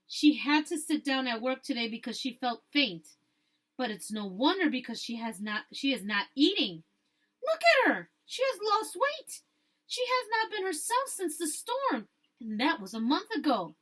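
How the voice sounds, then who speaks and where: Woman acting a high, fretful, bustling woman. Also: fairly bright tone, full character voice. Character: bustling, fretful woman worried about a sick friend.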